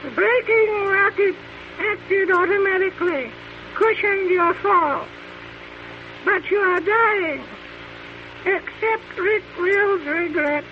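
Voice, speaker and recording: An actor playing a calm alien bird-creature chief with slow, formal, halting speech in an old radio drama. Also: male voice, very high-pitched. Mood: calm, solemn. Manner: slow, formal, halting foreign-sounding phrasing. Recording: muffled tinny old radio recording, faint noise.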